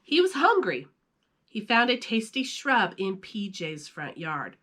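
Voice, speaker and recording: A woman storyteller reading a children's storybook aloud in an animated style. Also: mid-range voice, unhurried.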